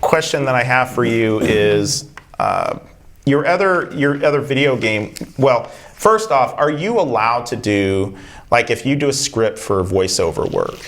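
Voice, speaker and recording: A man's voice: mid-range voice, expressive, a little slow, a bit bright. A man hosting an interview, asking long rambling questions with restarts and hesitations. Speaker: interview host.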